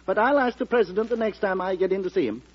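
A man's voice: high voice, fast, natural voice. An actor playing a cool, evasive male political campaigner with a wry manner, in old-time radio drama style. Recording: muffled classic radio broadcast recording.

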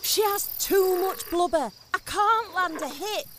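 A woman's voice: extremely high pitch, a little slow, noisy background. A woman playing a small, fierce, coin-loving elf who is blunt, bossy and insulting in a fantasy comedy audio drama. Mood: urgent, exasperated.

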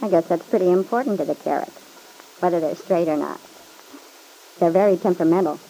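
Actress as a breezy showgirl in mellow vintage radio drama. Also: lowish voice, fairly quick, close to an everyday voice. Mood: teasing. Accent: American. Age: young adult. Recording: noisy vintage radio recording.

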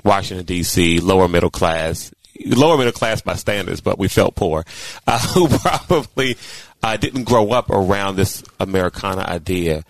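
A man co-hosting a talk show, friendly and quick to laugh, with stumbling restarts. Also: deep voice, lively intonation, no room sound, rough recording. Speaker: co-host. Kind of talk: casual radio talk show conversation.

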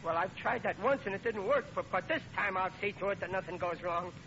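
An actor playing an excitable, plaintive working-class American father in a 1940s radio comedy. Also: high voice, fairly quick. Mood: worried. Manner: blustery, plaintive. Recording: muffled, noisy old radio recording.

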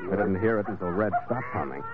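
A man's voice: deep voice, lively intonation, fast, heavy background noise. An actor playing a wry, terse ship's captain who narrates his adventure in an old-time radio drama. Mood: atmospheric, measured. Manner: terse, dry, hard-boiled. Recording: tinny vintage radio, music behind.

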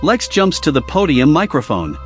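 A man's voice: fairly high pitch, wildly swooping pitch, normal speed, soft background music. A man reading scripted narration for a dramatic audio production.

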